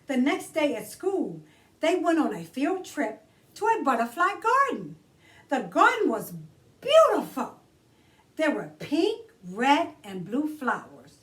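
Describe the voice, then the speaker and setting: Female children's storytime reader: high-pitched, very expressive, stretching key words, recorded a little away from the mic. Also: distant mic. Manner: expressive, deliberate, drawn-out emphasis on key words. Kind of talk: read-aloud children's story narration.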